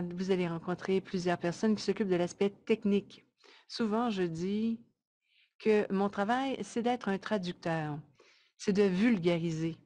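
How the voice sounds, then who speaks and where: A woman interpreting a webinar presentation live, in measured, halting short phrases. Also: lowish voice, a little mellow.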